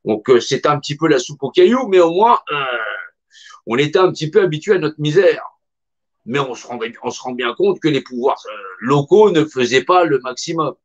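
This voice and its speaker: A man's voice: on the high side, lively intonation, a little fast. A middle-aged man speaks in an impassioned monologue with a slightly raised voice. He is emphatic and colloquial and hammers on repeated phrases. The recording sounds dull and lo-fi.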